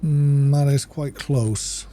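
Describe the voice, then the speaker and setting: A man's voice: medium pitch, steady tone, slow, dark tone. A man giving casual gameplay commentary, unhurriedly and methodically thinking aloud as he plays. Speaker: video game streamer.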